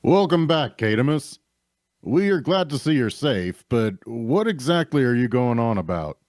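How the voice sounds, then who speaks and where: The wide-eyed local official greeting adventurers in a fantasy audio drama, lively in pitch, closely recorded played by a man. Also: normal speed, no echo, studio quality, close to an everyday voice. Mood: puzzled, welcoming. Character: astonished male town official, plain-spoken and welcoming. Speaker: actor at a table read.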